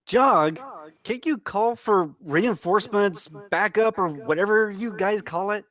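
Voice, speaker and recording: A woman's voice: medium pitch, a little slow, natural voice. A woman acting an anxious, questioning companion in a screenplay table read, urging others along. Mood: anxious, insistent.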